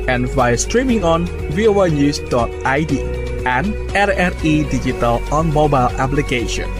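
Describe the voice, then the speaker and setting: A man reading a scripted station promo in a measured, formal announcing manner. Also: a little high. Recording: station ID over music.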